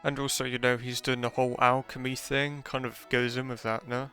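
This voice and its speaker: Bright-voiced man streaming a game. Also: medium pitch, flat delivery, brisk. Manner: chatty, offhand asides. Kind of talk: casual gameplay commentary. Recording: faint game music behind.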